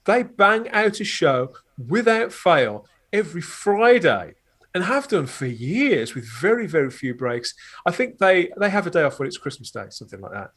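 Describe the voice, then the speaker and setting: Higher-voiced man chatting as podcast guest. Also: a bit bright. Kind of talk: casual chat, reviewing podcasts.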